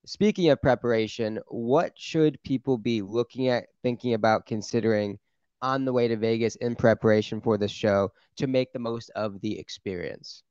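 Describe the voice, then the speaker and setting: A man's voice: mid-range voice, moderate pace, darkish tone, clean tone, no echo, dull audio. A young American man hosting casually, speaking into a close desk microphone. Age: young adult. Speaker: YouTube channel host. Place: small indoor home studio. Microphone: close desk microphone on boom arm.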